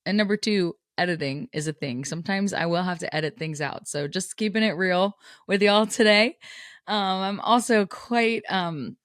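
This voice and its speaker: A woman's voice: lowish voice, moderate pace, dry recording, clean studio sound. Tired, slightly scratchy woman host. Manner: candid, chatty, laughs often. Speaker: podcast host. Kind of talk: casual podcast intro.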